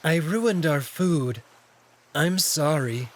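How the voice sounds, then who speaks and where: A man's voice: fairly high pitch, expressive, takes it slowly. A man voicing a meek, bashful male cat servant who speaks politely, hesitantly and with deference. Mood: dejected, apologetic.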